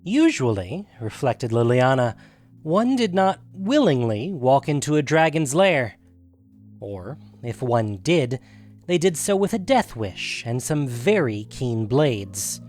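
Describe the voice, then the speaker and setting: A man narrates a fantasy story with a medium-pitched voice. His delivery is measured and dramatic, following a script. Soft music plays behind him. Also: sing-song.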